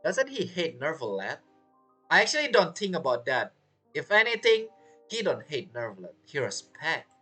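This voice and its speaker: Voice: medium pitch, big pitch swings, normal speed, pushed and bright, husky. A game streamer giving casual playthrough commentary in choppy, non-native phrasing. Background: game music.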